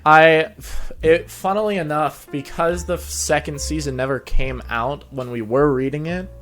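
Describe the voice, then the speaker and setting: A man's voice: a little high, unhurried, a bit bright. A man hosting a casual interview, chatting in an agreeable, informal way. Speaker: show host and interviewer. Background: background music.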